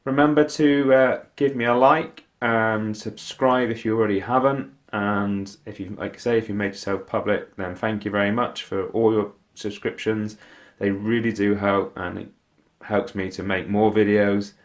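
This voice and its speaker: A man hosts a casual investing vlog, his deep voice thick and stuffy from a heavy cold. He pauses often, restarting words and filling gaps with ums. The sound is dry and close, with no room around it. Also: gravelly, no room sound. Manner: hesitant, many ums and restarts. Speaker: investing vlogger. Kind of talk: casual portfolio walkthrough.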